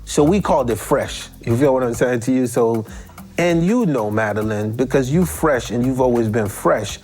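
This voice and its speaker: A middle-aged man, telling stories in an interview in slangy, animated talk full of rhetorical questions. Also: mid-range voice, moderate pace, soft background music. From Haiti. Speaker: musician guest. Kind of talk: relaxed storytelling interview.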